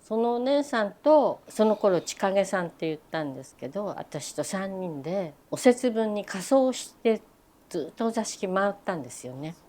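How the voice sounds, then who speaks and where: A woman's voice: mid-range voice, very animated, normal speed, dark tone. An older woman, a veteran geisha and shamisen player, reminiscing playfully in an interview, self-mocking and laughing often.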